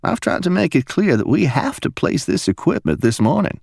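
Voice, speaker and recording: A man voicing a poised woman security officer who speaks softly and persuasively in a silky Alabama drawl. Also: on the high side, very animated, normal speed, creaky, breathy edge, no echo, studio quality, voice pushed up, heavily acted. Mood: silky, insistent. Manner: silky, soft-spoken.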